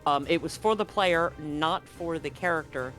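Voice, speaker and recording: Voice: mid-range voice, moderate pace, a bit bright, low-quality recording. A game master running a live tabletop game, explaining the action and rules in a casual, conversational way. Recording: music behind the voice. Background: background music.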